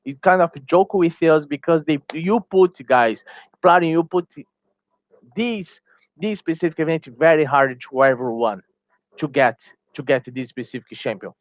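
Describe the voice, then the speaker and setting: A man's voice: on the high side, moderate pace, dry recording, muffled recording. A man whose first language is Portuguese, a small gaming YouTuber giving a heated opinion vlog, repeating himself and restarting phrases, sounding tired.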